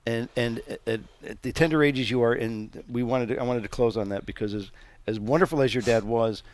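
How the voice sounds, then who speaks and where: Earnest middle-aged man, guiding talk. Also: medium pitch. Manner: earnest, guiding, halting restarts. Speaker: podcast host. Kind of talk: reflective conversational interview.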